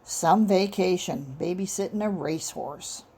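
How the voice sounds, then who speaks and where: A woman's voice: lowish voice, unhurried, dark tone, slightly rough. A woman voicing a lanky, grumbling male police detective with a casual, blunt, slangy manner. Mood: aggrieved.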